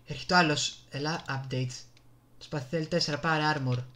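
Bright-voiced Greek man commentating a game. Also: fairly high pitch. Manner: excitable, exclaims and mutters to himself. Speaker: gaming YouTuber. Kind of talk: casual live gameplay commentary. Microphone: desktop gaming microphone.

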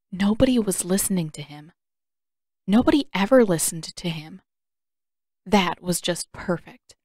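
A woman's voice: mid-range voice, darkish tone, no echo, studio quality. A woman reading scripted audiobook fiction as the narrator.

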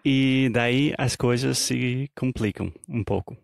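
Guy co-hosting a portuguese podcast, slow and steady, keeps asking questions, dry mic. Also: mid-range voice, vocal fry, dry recording. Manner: asks questions and checks understanding. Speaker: podcast co-host. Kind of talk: casual language-teaching chat.

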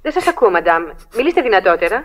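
A woman's voice: mid-range voice, sing-song, a little hiss or hum, natural voice. Woman as unruffled, formal switchboard operator. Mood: brisk, businesslike. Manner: polite, detached, routine. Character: unruffled, formal, brisk female telephone operator. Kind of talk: radio drama acting.

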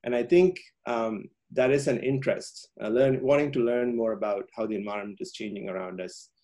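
A man's voice: mid-range voice, a little fast, mellow. A man from Sri Lanka giving a public science talk over a video call, with many ums and uhs and frequent restarts.

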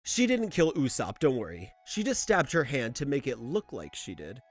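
A man's voice: mid-range voice, wildly swooping pitch, moderate pace, soft background music. A young man delivering enthusiastic, informal scripted narration as a video essayist. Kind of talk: scripted narration, anime and manga analysis.

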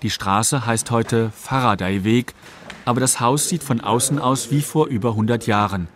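A man reading scripted documentary narration in a factual, measured manner. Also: medium pitch.

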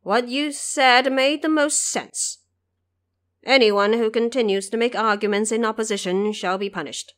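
A woman's voice: on the high side, measured pace, fairly bright tone. A woman voicing an authoritative male emperor in an audio drama, formal and decisive.

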